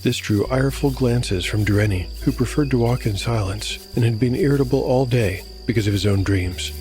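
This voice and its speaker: A man's voice: mid-range voice, fairly quick, a little mellow, soft background music. A man working as solo game master, narrating a scripted fantasy audio drama and commenting on the game.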